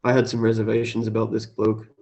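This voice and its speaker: Flat, creaky man, dull audio. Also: fairly low pitch, quick pace. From Australia. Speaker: interview guest, cafe owner. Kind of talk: casual, low-key chat and plain reading aloud.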